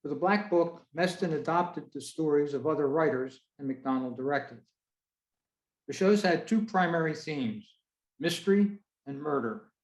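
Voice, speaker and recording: A man hosting an online meeting, giving an informal presentation in a measured, halting way over a video call. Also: on the high side. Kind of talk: informal spoken presentation from notes. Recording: roomy, echoey video-call audio.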